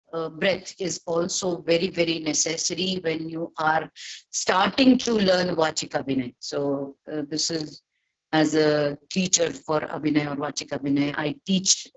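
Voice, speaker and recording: A woman with an Indian accent who teaches voice acting, lecturing in a halting, deliberate, explanatory manner. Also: on the low side, dull audio. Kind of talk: webinar lecture with demonstrations.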